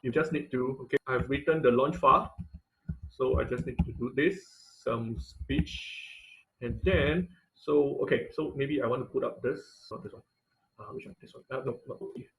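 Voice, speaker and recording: Halting male online tech instructor. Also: medium pitch, a bit bright, rough recording. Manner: halting, rephrasing mid-sentence. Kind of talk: live online coding tutorial, unscripted. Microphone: computer mic on an online class call.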